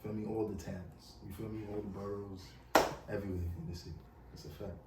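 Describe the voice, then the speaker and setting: A man's voice: on the low side, flat delivery, fast talker, very noisy. A man with a New York accent, talking casually as a music artist guest in an interview, rambling with lots of "you know what I'm saying". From New York City. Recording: roomy, distant mic with faint ambience.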